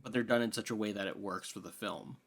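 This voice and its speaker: A man with a somewhat low voice talks as a podcast co-host. His style is casual discussion, with a halting, informal delivery as he works through each argument. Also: creaky, a bit bright.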